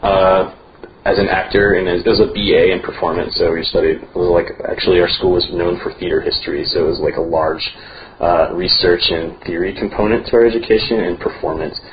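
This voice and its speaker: A man in casual interview conversation, a theater festival director talking in a relaxed, rambling way with many fillers. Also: sits low, fairly quick, lo-fi sound, a little hiss or hum. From United States.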